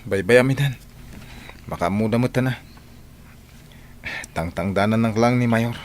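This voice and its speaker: Radio drama: actor as confiding Filipino man, mid-pitched, resigned and matter-of-fact, slight background noise. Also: normal speed, natural voice. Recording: faint noise behind voice.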